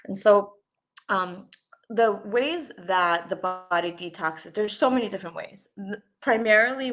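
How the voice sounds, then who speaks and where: A woman's voice: on the low side, fairly bright tone. A woman pediatrician giving an earnest, explanatory webinar talk over a video call, with frequent ums. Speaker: guest presenter, holistic pediatrician. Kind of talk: webinar presentation.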